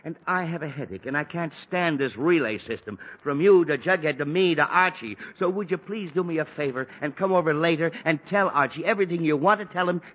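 A man's voice: fairly high pitch, brisk, few pauses, natural voice. An actor playing an exasperated middle-aged father with a headache, in a 1940s radio sitcom, sarcastic and fussy. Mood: weary. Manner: fussy, sarcastic, flares into shouting. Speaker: father of the house. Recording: dull old radio broadcast with faint hiss.

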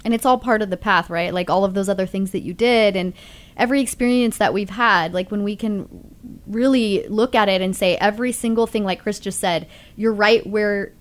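A woman's voice: mid-range voice, brisk. Encouraging woman podcast host. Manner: encouraging, spiritual, flowing sentences. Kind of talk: casual conversation.

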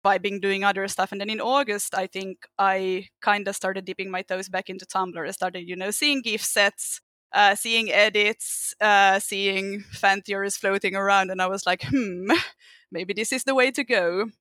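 A woman's voice: medium pitch, steady tone, normal speed, sharp tone, no echo. A woman from Finland with a Finnish accent, a guest on a podcast, telling her story casually with laughs.

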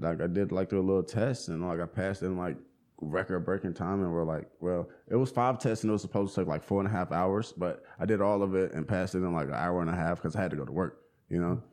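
A man in his twenties talking casually in an interview, relaxed and full of slang, fillers and restarts. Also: low voice. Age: 20s. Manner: laid-back slang, many fillers and restarts. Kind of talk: casual conversation.

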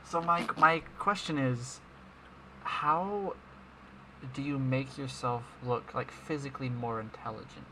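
A man co-hosting a casual podcast chat, animated and quick to stumble over his words. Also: mid-range voice, noisy background. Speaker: podcast co-host.

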